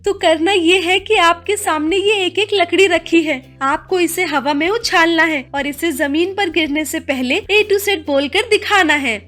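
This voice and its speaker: A very high-pitched, commanding tigress queen from a cartoon fable, acted out by a woman. She is clever and sarcastic, quick to scold her subjects. Faint music plays underneath. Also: fairly bright tone. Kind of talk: animated animal fable dialogue.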